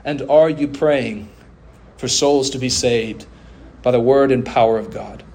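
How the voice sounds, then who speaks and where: A man delivering a sermon as a preacher, with emphatic oratory, deliberate pauses, drawn-out phrases and rhetorical refrains. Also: medium pitch, darkish tone. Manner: rhetorical questions and repeated refrains.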